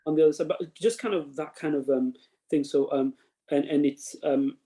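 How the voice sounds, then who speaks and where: A man, interviewing in a halting way with many fillers and restarts. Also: fairly high pitch, steady tone, dark tone. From East London. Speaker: interviewer, imam. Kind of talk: conversational interview.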